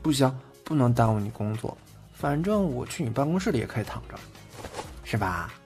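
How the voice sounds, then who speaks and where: An actor playing a teasing, boyish, devoted twenty-year-old boyfriend in intimate audio drama banter, in a colloquial way. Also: male voice, mid-range voice, very animated, brisk, creaky, darkish tone. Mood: sweet, teasing. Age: young adult. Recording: background music under the voice.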